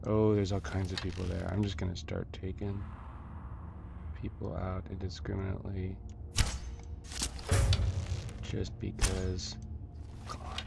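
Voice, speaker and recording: A man giving casual commentary on his own gameplay, muttering and thinking aloud with halting delivery and mild folksy exclamations. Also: sits low, level tone, vocal fry, very noisy. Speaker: gamer narrating own playthrough. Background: video game music.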